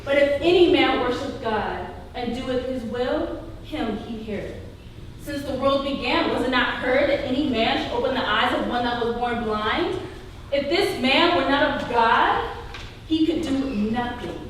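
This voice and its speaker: A woman's voice: high voice, a little slow, slight background noise. A woman playing a bold, defiant beggar healed of blindness in a live church Bible drama, declaiming in archaic scripture language. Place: church hall, live performance. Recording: dull, distant, long echoing hall.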